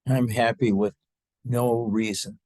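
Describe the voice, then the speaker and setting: A man leading a guided meditation as a spiritual teacher, speaking to a group in a slow, halting, unscripted way with long pauses. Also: medium pitch, level tone, a little mellow, no room sound.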